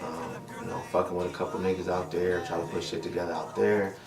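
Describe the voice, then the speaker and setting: Young man, low and relaxed, recorded distant and echoey. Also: fast, vocal fry. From Detroit, USA. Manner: laid-back, slangy, fills talk with "you know what I mean". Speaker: interviewed rapper. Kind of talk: casual interview. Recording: roomy, distant mic, music behind.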